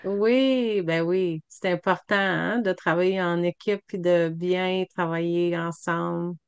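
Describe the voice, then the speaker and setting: A woman's voice: on the low side, fairly even intonation, slow pace, smooth, no room sound, muffled recording. A woman leading an online video meeting, handing the floor to each speaker and praising their answers. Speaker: meeting facilitator. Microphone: computer mic on video call.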